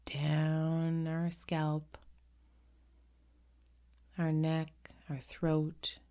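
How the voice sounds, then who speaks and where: A woman leading a guided meditation in a gentle, unhurried manner, pausing often between short phrases. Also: low voice, completely flat delivery.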